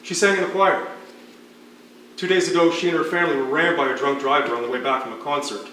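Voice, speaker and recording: A man's voice: fairly high pitch, steady tone, quick pace, pushed and bright. Man playing an echoey, matter-of-fact hospital doctor. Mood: somber. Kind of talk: naturalistic stage dialogue. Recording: echoey distant stage recording, some noise.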